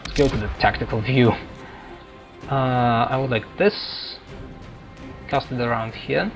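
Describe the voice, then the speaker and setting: A man's voice: mid-range voice, steady tone, measured pace, creaky. A man giving casual gameplay commentary as a let's play host, thinking aloud as he goes. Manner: thinking aloud, muttering to himself. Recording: game music loud behind voice. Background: video game music.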